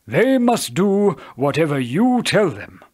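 A man voicing an old, blind, solemn father with a husky, breathy voice and slow, drawn-out delivery, as in a storybook. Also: sits high, big pitch swings, voice pushed up, heavily acted. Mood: solemn, proud.

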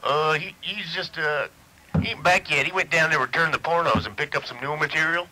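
A man playing a crude, slow-witted, easily irritated redneck stoner on a prank phone call, with folksy drawling, muddled wording and swearing. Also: a little high, lightly acted. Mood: folksy, rambling. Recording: noisy telephone line recording.

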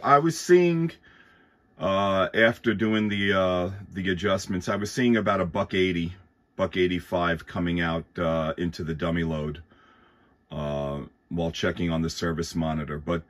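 A man's voice: low-pitched, normal speed, a bit bright. A man chatting casually and courteously as an amateur radio operator. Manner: courteous, thankful. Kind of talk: casual ham radio chat. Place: indoors at a workbench.

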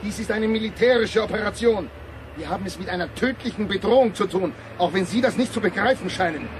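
A stern, threatening army officer acted by a man in a radio drama. His high-pitched male voice barks commands. Outdoor vehicle noise runs clearly behind him. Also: fast, natural voice. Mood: cold menace. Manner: barking, commanding. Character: stern, threatening military officer shouting orders. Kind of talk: German radio drama acting.

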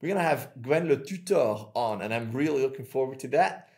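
A man in his thirties from Switzerland with a Swiss accent, hosting a podcast over a video call and telling stories in a chatty, animated way from a small room at home. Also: medium pitch, fairly quick. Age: 30s. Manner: chatty, animated storytelling with hand gestures. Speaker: podcast host. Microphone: video call with earbuds.

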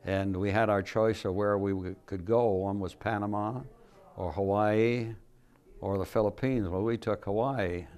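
A man's voice: lowish voice, fairly even intonation, measured pace, a little mellow, clear, resonant tone. An elderly American man, a veteran, telling a story from memory in a studio interview. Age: 70s or older.

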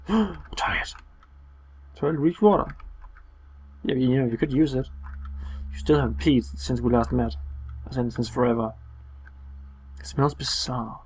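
A man giving casual, sarcastic and playful commentary on a horror game, swearing and reading game text aloud between quips, with a husky voice. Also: medium pitch, a little hiss or hum. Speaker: let's play commentator.

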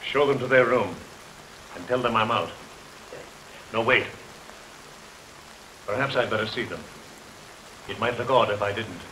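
An actor playing a wealthy, lovestruck, scheming gentleman in an early 1930s film, speaking formally and intensely. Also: on the low side, measured pace, pauses often, low-quality recording. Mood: curt, impatient. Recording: hissy 1932 film soundtrack, stormy rain behind.

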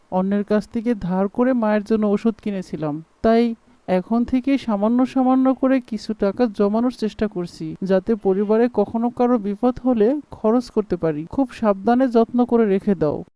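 A woman's voice: mid-range voice, warm tone, no room sound, lightly acted. A woman voicing a poor, dutiful woodcutter father in a cartoon, with an earnest, worried manner.

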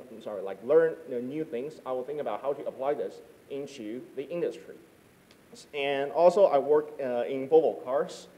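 Mellow young man, halting delivery. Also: medium pitch, a little hiss or hum, poor audio. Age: 20s. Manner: halting, filler-heavy, informal. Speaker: startup founder, panelist. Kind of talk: unscripted panel answer. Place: stage of an auditorium, indoors. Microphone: handheld microphone.

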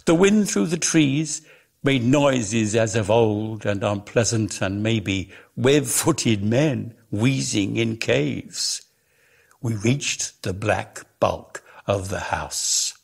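A man giving a measured, expressive dramatic reading of literary prose as a storyteller. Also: lowish voice, creaky. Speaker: narrator, reader.

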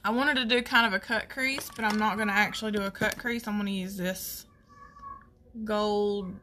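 A woman with a Southern US accent chatting casually through a makeup tutorial, folksy and rambling as she thinks out loud. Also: mid-range voice, steady tone, normal speed, slight background noise. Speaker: makeup artist hosting a tutorial.

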